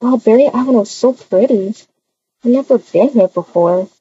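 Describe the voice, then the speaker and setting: Woman, mellow and unhurried, halting improvised game roleplay, slightly noisy dull recording. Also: on the high side. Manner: hesitant, halting, with many hums. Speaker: roleplay video creator. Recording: dull muffled audio, faint noise.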